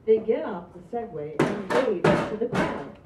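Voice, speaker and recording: A woman's voice: medium pitch, lively intonation, moderate pace, heavy background noise. Woman reading stage directions aloud. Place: small stage with green backdrop. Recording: distant, roomy, dull audio.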